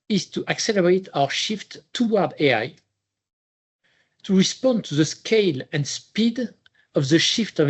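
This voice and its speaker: A man giving a formal, measured scripted business presentation as a chief executive. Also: fairly high pitch.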